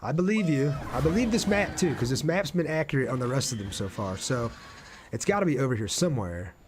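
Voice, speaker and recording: A man with a mid-pitched voice commentates on his own game livestream. He talks casually, thinks aloud as he plays and chats in a friendly way with his viewers. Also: animated, brisk, slight background noise. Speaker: game streamer. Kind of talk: casual livestream gameplay commentary with chat replies.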